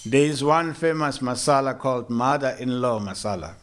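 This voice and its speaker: A man from South Africa giving a morning sermon in a temple, slow and measured, with hesitant restarts and gentle humor. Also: medium pitch. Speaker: monk giving a morning lecture. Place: temple hall.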